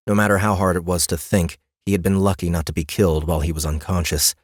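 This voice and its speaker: Low-voiced male audiobook narrator. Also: no room sound, clean studio sound. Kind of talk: scripted fantasy narration. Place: dry studio.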